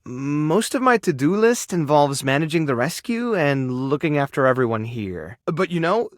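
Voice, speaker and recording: A man playing a kind, modest, overworked animal rescue keeper in a video game, speaking in a natural, soft-hearted and hesitant way. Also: a little high, sing-song, moderate pace, no echo, studio quality. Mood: friendly, caring. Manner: soft-hearted, self-effacing, hesitant with small sighs and chuckles. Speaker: voice actor in a dating game. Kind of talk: video game character dialogue, natural and conversational.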